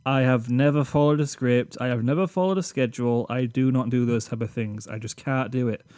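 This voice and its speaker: A man's voice: medium pitch, steady tone, a little fast, dark tone, smooth, no echo, studio quality. A British man reading a scripted reflection to his channel's viewers in a rambling, self-deprecating, slightly awkward way, sitting at a desk microphone. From Britain. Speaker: YouTube channel host.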